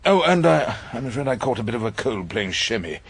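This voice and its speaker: A man playing a wry, irascible old barrister in a radio drama, with an English accent and an unhurried, ironic delivery. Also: medium pitch, big pitch swings, gravelly, husky, full character voice. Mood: teasing. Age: older. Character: irascible, wry old male barrister narrating his cases. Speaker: barrister and narrator. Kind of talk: radio drama dialogue and first-person narration.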